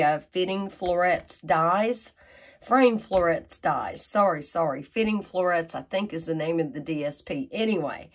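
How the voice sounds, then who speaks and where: Stuffy-nosed woman, low and slow. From Tennessee, USA. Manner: chatty and folksy, with frequent fillers and asides. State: stuffy nose from a sinus infection. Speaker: craft demonstrator. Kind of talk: casual card-making tutorial.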